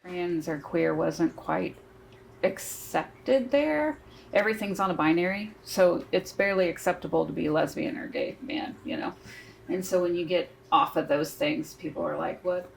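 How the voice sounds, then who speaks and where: Gravelly low voice in a roomy space. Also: female voice, normal speed, a little hiss or hum. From Missouri, USA. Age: 50s. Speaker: interviewee. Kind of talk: documentary interview. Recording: roomy, distant mic.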